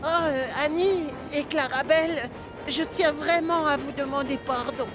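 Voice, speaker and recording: A woman's voice: sits high, a little slow, over music, crowd noise. A woman voicing an eager, earnest young male tank engine who exclaims boyishly in a children's cartoon. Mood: apologetic. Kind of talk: children's cartoon dub acting.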